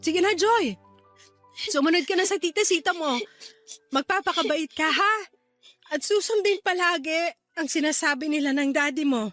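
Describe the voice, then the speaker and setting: A woman playing a caring, gentle aunt in a radio drama, with an affectionate, reassuring manner. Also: very high-pitched, moderate pace, dry recording, faint music behind. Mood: tender, reassuring. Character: caring, gentle aunt and small online seller. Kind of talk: Tagalog radio drama acting.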